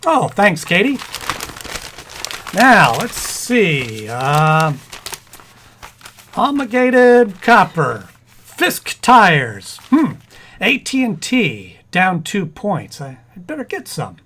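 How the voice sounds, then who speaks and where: A man's voice: high-pitched, huge pitch swings, measured pace, fairly bright tone, slightly rough, full character voice. A man playing a distracted, mild-mannered husband in a 1940s-style radio comedy, hesitant and stammering. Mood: absorbed, distracted. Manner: hesitant, stammering, absent-minded.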